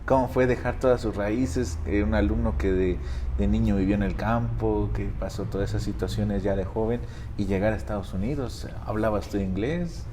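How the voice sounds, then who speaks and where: A male interviewer with a low, mellow voice asks short questions that move the conversation along. Faint ambient noise is heard in the background. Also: normal speed. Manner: brief prompting questions and backchannels. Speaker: interviewer, host.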